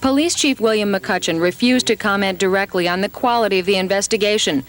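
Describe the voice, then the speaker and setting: An American woman reports for local television news in a medium-pitched voice. Her delivery is scripted, in the manner of a 1980s field reporter. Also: fast.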